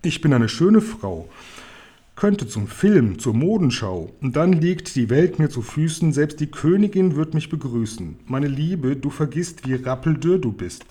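A man's voice: fairly high pitch, very animated, measured pace, dark tone, slightly rough, voice pushed up, exaggerated voice. A man voicing a vain, scornful, fat aunt in a storybook, pompously declaiming. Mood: smug, self-admiring.

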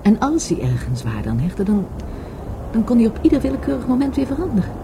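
An actress playing a wistful, grieving lover in a radio play, hesitant and halting as she searches for words. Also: fairly high pitch, wildly swooping pitch, background noise. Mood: wistful, searching. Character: grieving, wistful lover of a murdered market trader. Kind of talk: Dutch radio play acting.

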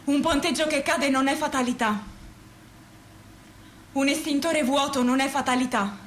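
A woman's voice: sits high, normal speed, fairly bright tone. A woman in her twenties playing a defiant young worker, declaiming an emphatic, rhetorical monologue on stage. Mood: defiant, anguished. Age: 20s. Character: defiant young working woman confronting workplace deaths. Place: live stage performance in a roomy space. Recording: echoey stage with faint noise.

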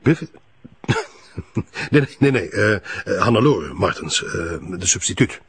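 An actor playing a touchy, self-important middle-aged police chief with a Flemish accent, indignant and pompous, hesitant when challenged. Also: male voice, fairly low pitch, sing-song, a little slow, breathy edge, no room sound, full character voice. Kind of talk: audio drama acting.

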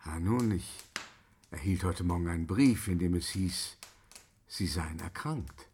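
Blustering, deep-voiced opera director. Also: male voice, a little slow, a little mellow, slight background noise. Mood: wary, polite. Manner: authoritative, volatile. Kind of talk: German radio play acting.

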